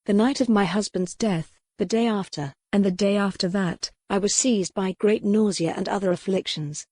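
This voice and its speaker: A woman's voice: medium pitch, moderate pace, mellow, no room sound, clean studio sound, lightly acted. Frail, wry young noblewoman, formal speech , read by a woman narrator.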